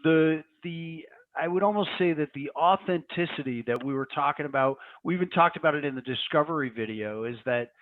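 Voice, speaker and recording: A man co-presenting in a conversational discussion, with halting, reflective phrasing. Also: fairly high pitch, no room sound. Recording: dry, thin phone-like line.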